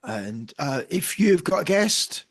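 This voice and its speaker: A man co-hosting a podcast, hoarse from a cold, talking in a jokey, self-deprecating way with plenty of restarts. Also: a little high, sing-song, slow. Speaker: podcast co-host. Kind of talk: casual banter.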